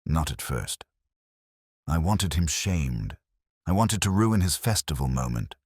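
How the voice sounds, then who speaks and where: A man voicing an older widow in a story reading, speaking softly in a drained, resigned way. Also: a bass voice, very animated, measured pace, dry recording, clean studio sound, exaggerated voice. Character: older widow, quiet and worn down.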